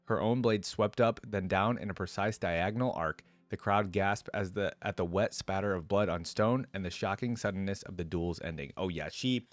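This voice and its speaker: A man's voice: low-pitched, normal speed, few pauses, dry recording. A man hosting a reaction video, reading a story aloud and commenting in an informal, slangy way.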